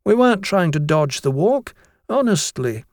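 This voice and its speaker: A man's voice: sits high, sing-song, moderate pace, no room sound, clean studio sound, pitched above the performer's own voice. A man voicing an eager, earnest British schoolboy, polite and pleading, in an audiobook. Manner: breathless, earnest, deferential. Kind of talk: audiobook character voice.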